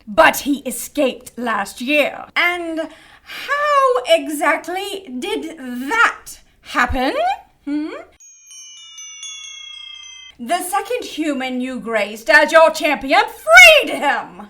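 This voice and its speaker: Imperious, high-pitched cherub. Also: female voice, sing-song, slow pace, bright, forceful tone, full character voice. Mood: accusing. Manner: imperious, mocking interrogator. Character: haughty, domineering female cherub leading an angelic inquisition. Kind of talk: audio drama acting.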